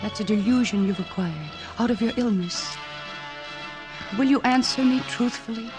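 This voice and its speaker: A woman's voice: on the high side, normal speed, over music, natural voice. An actress playing a caring, firm woman psychiatrist, controlled and insistent, in 1940s radio drama style. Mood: soothing, insistent. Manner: controlled, soothing yet insistent.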